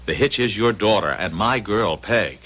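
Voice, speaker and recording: A man's voice: on the low side, expressive, moderate pace, fairly bright tone. An actor playing an eager, breezy young American man in an old-time radio drama, earnest and coaxing.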